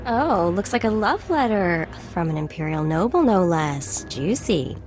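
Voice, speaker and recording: A lively, snarky sidekick. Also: female voice, on the low side, a little slow. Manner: sarcastic, lively. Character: snarky female companion, gossipy and lively. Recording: game audio with music.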